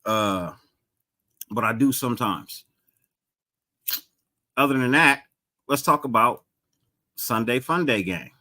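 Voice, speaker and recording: A man's voice: slow pace, pauses often. A man hosting a casual live sports podcast, greeting chat viewers by name and talking loosely with a mild stutter and repeated words. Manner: gets higher and more animated when excited. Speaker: podcast host.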